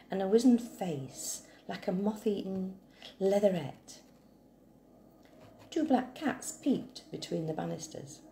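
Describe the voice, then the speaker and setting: A woman reading a poem aloud in a measured recitation style. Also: deep voice, creaky, warm tone. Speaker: poetry reader. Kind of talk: slow, measured poetry recitation. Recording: echoey room, faint background hiss.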